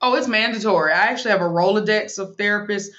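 A woman's voice: medium pitch, fairly bright tone, dull audio. A woman in her thirties, a financial planner, talking frankly and emphatically in an interview. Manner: brisk, emphatic, frank advice-giving. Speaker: financial planner and money coach. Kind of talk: candid interview talk.

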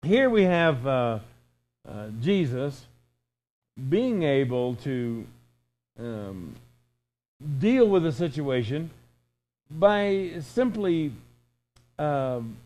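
A man's voice: on the high side, sing-song, extremely slow, long pauses, a little mellow, faint music behind. A man in his sixties preaching an informal, conversational sermon in a folksy way, with emphatic repetition and imagined dialogue. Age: 60s.

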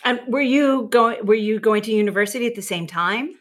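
A woman's voice: fairly high pitch, a little fast. A woman hosting a casual interview, asking enthusiastic questions with frequent restarts. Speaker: interview host.

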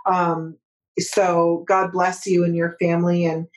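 A woman's voice: fairly low pitch, little pitch movement, slow. A woman hosting a podcast interview, effusive and thankful toward her guest. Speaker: podcast host.

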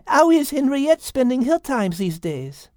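A man voicing a thoughtful, courteous little female cat in a storybook, with a French accent and gently precise speech. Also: very high-pitched, big pitch swings, measured pace, no echo, clean studio sound, pitched above the performer's own voice, exaggerated voice. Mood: friendly.

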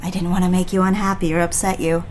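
An actress playing a devoted, anxious young bride-to-be in a period audio drama, speaking earnestly and properly. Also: female voice, fairly low pitch, level tone, quick pace, a little mellow, a little hiss or hum, lightly acted. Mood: earnest, defiant.

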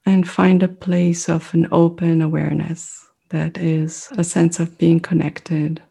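A middle-aged woman whose first language is French, speaking as an interview guest. She talks in a thoughtful, reflective way, pausing often as she searches for words. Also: fairly low pitch, flat delivery, vocal fry, mellow, slightly rough. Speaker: mindfulness meditation teacher, guest.

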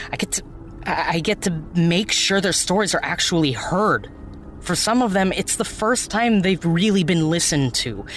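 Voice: medium pitch, a little hiss or hum, close to an everyday voice. An actor playing an earnest, hurt young activist in an audio drama, pleading in a casual, sweary, naturalistic way. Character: earnest, hurt young activist who runs a protest blog.

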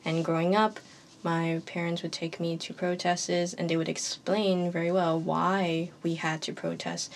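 A woman's voice: lowish voice, little pitch movement, measured pace, slight background noise. A woman in her early twenties from Hong Kong, a university student speaking earnestly and emphatically in a roundtable discussion. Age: early 20s. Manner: earnest and emphatic, with occasional laughs.

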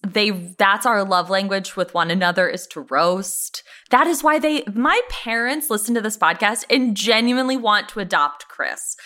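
A woman's voice: on the high side, pushed and bright. A woman co-hosting a podcast in casual, emphatic banter, repeating words to drive her point home. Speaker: podcast co-host. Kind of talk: casual banter about a TV show.

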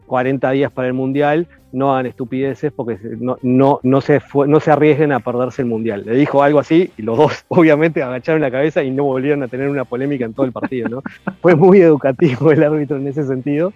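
Man giving measured rugby analysis, dry. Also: medium pitch, steady tone, mellow, no room sound, faint music behind. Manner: analytical, measured, many hesitations. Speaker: rugby podcast guest. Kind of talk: casual chat. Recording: dry, dull lo-fi sound.

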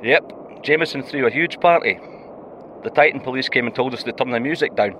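A man's voice: mid-range voice, brisk, a bit bright, a little hiss or hum, lightly acted, low-quality recording. A man playing a wry, homesick Scottish astronaut and diver in a naturalistic audio drama, talking colloquially. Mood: playful banter. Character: homesick, joking Scottish astronaut and deep-sea diver, male.

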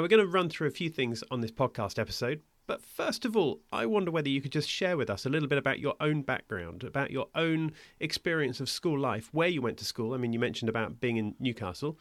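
A man's voice: on the high side, big pitch swings, a little fast, a bit bright. A man hosting a school podcast, reading a scripted introduction and asking questions in an upbeat way. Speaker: podcast host.

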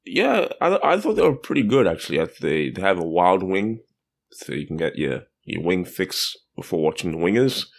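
A man on a sports podcast, recounting a live game in a measured, descriptive way. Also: low-pitched, sing-song, creaky. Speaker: podcast co-host reporting from the game. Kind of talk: casual sports podcast chat.

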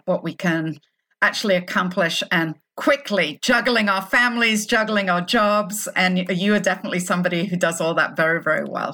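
A woman's voice: lowish voice, sharp tone, dry recording. A woman hosting a podcast, reading an upbeat scripted intro and then interviewing a guest with enthusiasm. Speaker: podcast host. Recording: music bed under voice.

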